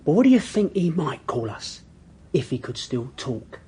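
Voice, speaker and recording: A taunting London heavy for radio drama. His voice is fairly high for a man and husky, with lively swings. He threatens through mocking questions. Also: moderate pace, heavily acted. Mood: mocking menace. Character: menacing raw-boned male thug built like a prizefighter.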